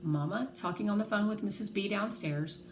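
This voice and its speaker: A woman reading a children's novel aloud in an expressive, animated way, acting out the dialogue. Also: mid-range voice, a little fast. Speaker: read-aloud narrator. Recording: roomy echo, faint background noise.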